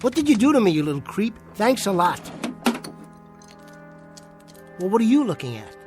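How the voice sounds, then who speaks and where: An actor playing an edgy, weary and defensive nightclub ventriloquist in a radio play. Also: male voice, sits high, big pitch swings, fast talker, over music. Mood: bitter, accusing.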